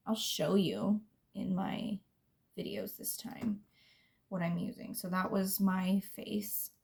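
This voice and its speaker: A young woman with a scratchy voice, chatting casually and rambling as she does her makeup, often dropping into soft near-whispers. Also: mid-range voice, gravelly, breathy edge. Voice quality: scratchy smoker's voice. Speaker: beauty vlogger. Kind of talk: casual chat while doing makeup.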